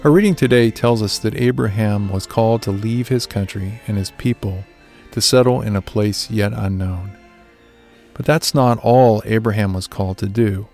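A man delivering a scripted sermon reflection in a measured, earnest way. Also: fairly low pitch, darkish tone, faint music behind. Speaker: devotional speaker.

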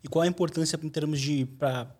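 Higher-voiced man asking rambling questions. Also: steady tone, brisk, a little mellow. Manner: long, rambling questions with restarts. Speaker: podcast host. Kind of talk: casual podcast interview.

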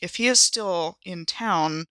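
Bright, forceful-voiced woman roleplaying. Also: lowish voice, takes it slowly, dry recording. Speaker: player in an actual-play audio drama podcast. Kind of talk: in-character improvised tabletop roleplay.

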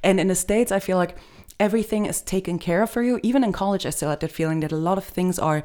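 Lower-pitched woman from Germany explaining. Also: quick pace, mellow. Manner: explanatory, gives many examples. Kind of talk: casual conversational explaining.

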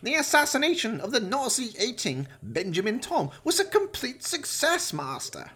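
A man's voice: very high-pitched, huge pitch swings, moderate pace, bright, forceful tone, breathy edge, voice pushed up, full character voice. A man voicing a groveling, degenerate mad scientist with pleading melodrama for a comic horror radio play. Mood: boastful, nervous.

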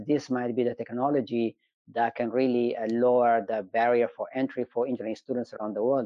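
A man's voice: medium pitch, dark tone, dry recording, highs cut off. A middle-aged man from Ethiopia, an engineering professor telling his story in an interview with frequent hesitations. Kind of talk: conversational interview.

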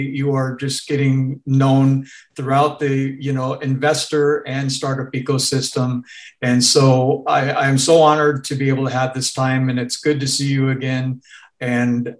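A man hosting a video-call interview, introducing his guest in an enthusiastic, effusive way. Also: mid-range voice. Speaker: interview host. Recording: roomy echo.

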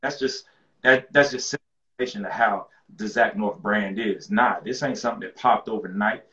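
Man from with a low, deep voice, relaxed slangy guest; muffled lo-fi sound in an echoey room. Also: moderate pace. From rural North Carolina. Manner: laid-back, slangy, repeats words as he restarts. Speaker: guest rapper. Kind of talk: casual interview chat.

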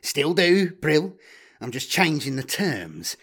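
A man's voice: fairly high pitch, very animated, slow, fairly bright tone, breathy edge, pitched above the performer's own voice, heavily acted. A man voicing a smug, easily rattled male guide who double-crosses people, gloating and emphatic, in an audiobook. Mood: smug, threatening. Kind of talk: audiobook character voice.

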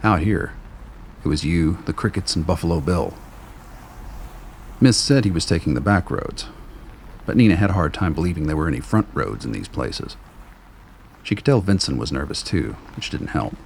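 A man with a gravelly, husky voice giving scripted dramatic narration for a radio play. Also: extremely low pitch, lively intonation, normal speed, pauses often, a little hiss or hum.

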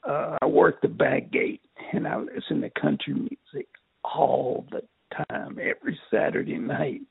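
An older man from Texas with a raspy, strained voice, telling his life story in an interview in a halting way, repeating words. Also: mid-range voice, no echo. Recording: tinny phone line.

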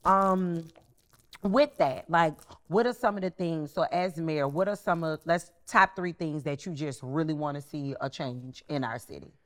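A woman's voice: deep voice, measured pace. A woman hosting a casual podcast chat in a slangy, informal way. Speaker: podcast host.

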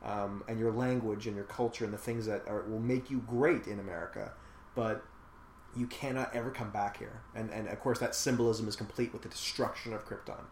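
A man's voice: on the low side, steady tone, a little fast. A man in a casual film discussion, talking thoughtfully at length with many fillers. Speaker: podcast host discussing a film. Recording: echoey room with faint noise.

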